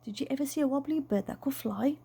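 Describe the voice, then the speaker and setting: A woman's voice: high-pitched, quick pace, creaky, mellow. A woman voicing a plump, childlike dad in a storybook, eager, playful and boastful about flying. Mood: eager, insistent. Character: plump, excitable, childlike dad who longs to fly.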